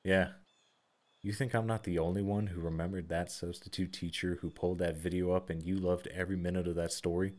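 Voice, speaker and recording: A man's voice: deep voice, little pitch movement, brisk, a little mellow, lightly acted. An actor playing a reflective, reticent man, hesitant and pensive, in an audio theater dialogue.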